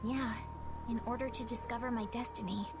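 A woman playing a gentle, anxious but resolute young noblewoman in a dubbed anime game, speaking earnestly. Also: a little high, fairly even intonation, fairly quick, creaky, over loud music. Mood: anguished, resolute.

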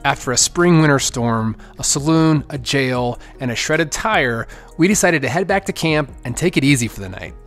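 A man reading a relaxed, matter-of-fact voice-over recap of an off-road trip. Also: medium pitch, normal speed, music in the background. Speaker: narrator. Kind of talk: scripted voice-over narration.

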